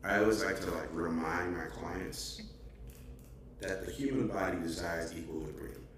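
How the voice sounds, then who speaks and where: A man's voice: low voice, normal speed, fairly bright tone, recorded from a distance. A man in his thirties, a podcast co-host, talking earnestly and reflectively in casual chat with many filler words. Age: 30s. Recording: echoey, off-mic with faint noise.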